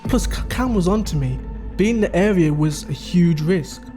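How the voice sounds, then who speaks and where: A man's voice: high-pitched, animated, moderate pace, dark tone, faint music behind. A man playing a scheming middle-aged father, explaining things conversationally in a dramatic audio story. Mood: explanatory, uneasy. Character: scheming middle-aged father recounting his wife's crimes. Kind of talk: dramatic dialogue.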